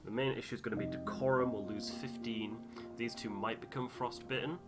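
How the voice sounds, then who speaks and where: A man's voice: medium pitch, steady tone, fairly quick. A man giving casual gameplay commentary, thinking aloud and reading out on-screen text. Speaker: host of a game playthrough. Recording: music behind the voice. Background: game music with guitar.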